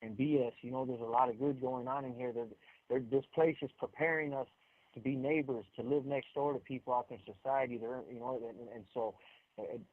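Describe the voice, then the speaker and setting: A tinny, narrow telephone line carries a man's medium-pitched voice, with no room echo. He is a caller talking casually and in earnest. He repeats and restarts phrases as he makes his point. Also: steady tone, brisk. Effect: phone line. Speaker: incarcerated guest caller. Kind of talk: casual, earnest explanatory talk. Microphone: telephone handset.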